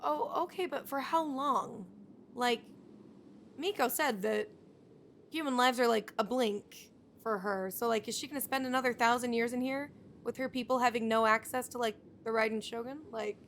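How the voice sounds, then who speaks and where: A woman's voice: on the high side, moderate pace, fairly bright tone, a little hiss or hum. A woman game streamer giving casual live commentary in an informal, wry, reactive way.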